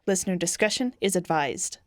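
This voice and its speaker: A woman's voice: fairly low pitch, lively intonation, fairly quick, darkish tone, dry recording, studio quality, lightly acted. A woman announcer reading a formal scripted content advisory for a broadcast.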